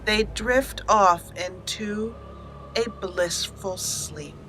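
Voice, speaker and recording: A woman hosting a gaming channel, giving expressive roleplay commentary and storytelling while talking to the game characters. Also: medium pitch, very slow, over music, low-quality recording.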